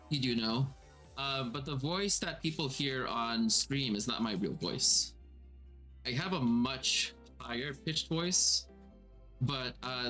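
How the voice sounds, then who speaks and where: A man chats on a home stream with his voice processed a little lower and more resonant. He rambles casually and pokes fun at himself, filling pauses with plenty of likes and ums. Faint music plays underneath. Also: a bit bright. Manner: chatty, self-deprecating, full of fillers. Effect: voice processed slightly lower and more resonant. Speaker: streamer and game master.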